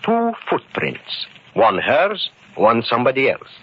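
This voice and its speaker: An actor playing an earnest immigrant shoemaker turned amateur detective in a radio mystery, speaking in careful, formal phrases. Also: male voice, medium pitch, wildly swooping pitch, unhurried, husky, full character voice. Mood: methodical. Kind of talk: old-time radio mystery acting. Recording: muffled vintage radio recording.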